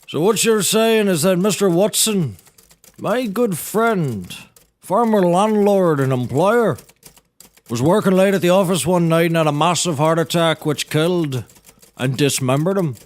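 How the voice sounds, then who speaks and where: Man playing a rambling, folksy seaman lawyer, higher-voiced. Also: animated, a little slow. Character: bumbling, blustering old seaman turned lawyer. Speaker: defense lawyer in courtroom comedy. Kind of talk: scripted comedy audio drama acting.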